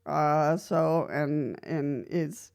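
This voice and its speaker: A woman's voice: low-pitched, very slow, vocal fry, a little mellow. Chuckling woman giving casual wheelchair advice. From Costa Rica. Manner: chuckles often between points, many "so" restarts. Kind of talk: casual explanatory vlog talk.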